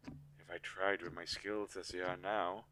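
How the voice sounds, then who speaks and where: A man playing a weary, protective magical inventor in an audio drama, speaking earnestly with halting pauses. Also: level tone, sharp tone. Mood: gentle, resolute. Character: weary, protective male inventor-mage, creator of living dolls. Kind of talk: scripted audio roleplay drama. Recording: low quality, audible background hiss.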